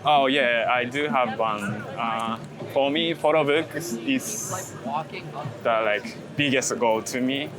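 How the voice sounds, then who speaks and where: Halting Japanese-accented man, city bustle behind. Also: medium pitch, crowd noise. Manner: halting, searching for English words. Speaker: interview guest, street photographer. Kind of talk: casual unscripted interview. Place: outdoors in a busy city park. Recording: outdoor city bustle with traffic.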